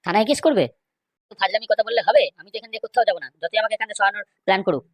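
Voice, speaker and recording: Man playing a rapid-fire, quarrelsome cartoon fellow. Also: high-pitched, vocal fry, a bit bright, dry recording, exaggerated voice. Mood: indignant, insistent. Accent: West Bengal Bengali. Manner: scolding, insistent, rhetorical questions. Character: argumentative, indignant cartoon men bickering over money. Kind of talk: amateur comedy cartoon dialogue.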